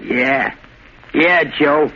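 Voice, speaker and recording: A man's voice: a little high, drawn-out words, bright, forceful tone, exaggerated voice. An American man playing a simple, obedient, shell-shocked war veteran with a childlike manner, in 1930s radio drama style. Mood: docile, dazed. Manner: childlike, dull-witted, docile. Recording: muffled, hissy 1930s radio recording.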